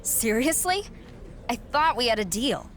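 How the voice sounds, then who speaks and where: A woman's voice: a little high, very animated, unhurried, a bit bright. An actress playing a young female champion wrestler in a video game, blunt, sarcastic and defiant. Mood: wary, indignant. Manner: blunt, sardonic. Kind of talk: video game cutscene acting.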